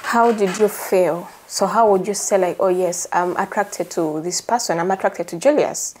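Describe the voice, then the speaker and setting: A woman's voice: lowish voice, moderate pace, darkish tone, slight background noise. A woman hosting a conversational interview. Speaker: host.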